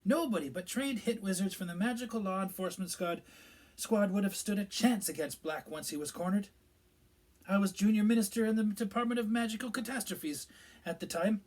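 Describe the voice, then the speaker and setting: A fussy, pompous middle-aged minister from a storybook, as a male narrator puts him on. His voice sits higher than the reader's own and carries a lot of self-importance. He is evasive under the bluster. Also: brisk, darkish tone, poor audio. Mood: solemn, pompous.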